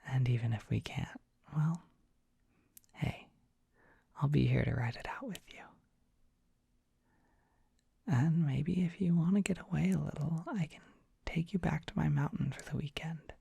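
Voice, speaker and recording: Someone doing a dorky dragon boyfriend asmr, mellow crackly whisper, bashful n hesitant, super gentle and comforting. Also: mid-range voice, a little slow, long pauses, no echo, full character voice. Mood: gently hopeful. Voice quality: soft breathy whisper. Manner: tender, bashful, hesitant, softly reassuring. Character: dorky, gentle young dragon boy, shy, devoted comforting partner. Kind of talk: ASMR roleplay, intimate comforting monologue.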